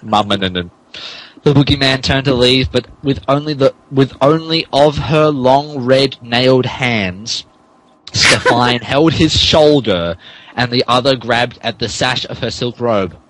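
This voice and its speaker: A man's voice: medium pitch, unhurried, a bit bright, no room sound, rough recording. A man, a podcast host, narrating a comic dramatic reading of fan fiction theatrically and stumbling over the text.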